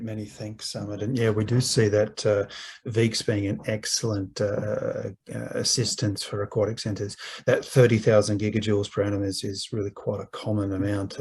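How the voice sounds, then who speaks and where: Husky man hosting a webinar. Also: on the low side, a little mellow. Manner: informal, encouraging, stop-start phrasing. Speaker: webinar host. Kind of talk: informal webinar moderating.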